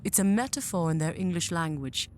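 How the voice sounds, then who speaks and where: A woman playing a commanding alien general in a scripted audio drama, speaking in a formal, measured, imperious manner. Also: lowish voice, lively intonation, darkish tone, slight background noise, close to an everyday voice. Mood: smug, dry. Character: commanding alien female general, proud, coldly persuasive mother.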